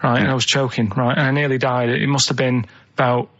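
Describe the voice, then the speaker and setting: A man's voice: mid-range voice, level tone, fast, fairly bright tone, muffled recording. A man with a northern English accent chatting casually and telling rambling anecdotes in a plain-spoken, matter-of-fact way. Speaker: radio show regular telling anecdotes.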